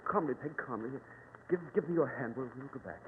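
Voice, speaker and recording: A man's voice: medium pitch, animated, fairly quick, narrow, tinny audio, natural voice. A man acts an adventurous young bridegroom in a vintage radio play. His voice jumps up and down as he stammers and repeats himself, heard through a thin, hissy old broadcast. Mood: nervous. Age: young adult. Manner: hesitant stammering, dramatic. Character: young adventurous bridegroom, breezy, coaxing, protective. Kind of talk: old-time radio drama acting.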